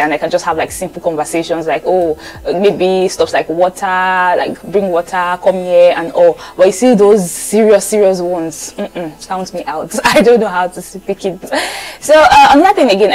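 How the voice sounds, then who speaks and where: A woman's voice: fairly low pitch, darkish tone, low-quality recording. A young woman from Lagos with a Nigerian accent, chatting casually to viewers and repeating phrases for emphasis. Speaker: vlogger.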